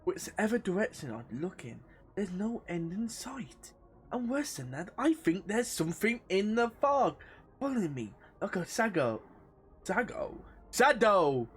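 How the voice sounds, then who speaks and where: Animated woman streaming a game, casual. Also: medium pitch, unhurried, breathy edge. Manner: sweary, giggly, rambling. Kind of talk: casual playthrough commentary, reading game text aloud. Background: game music.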